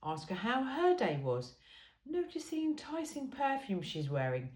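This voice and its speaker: A woman's voice: a little high, wildly swooping pitch, unhurried, darkish tone. A woman reading a humorous self-help book aloud informally, with a wry, conversational, emphatic delivery. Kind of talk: informal book read-aloud with chatty asides. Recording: roomy, echoey sound.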